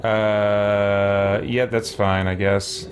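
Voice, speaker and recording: A man's voice: on the low side, flat delivery, extremely slow, very noisy. A middle-aged man giving casual, conversational let's play commentary as a gaming streamer, rambling and thinking aloud.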